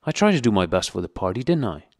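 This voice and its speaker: A man's voice: lowish voice, sing-song, fast, no echo, studio quality. A man voicing a frightened, pudgy middle-aged prisoner who pleads eagerly and nearly blubbers. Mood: pleading.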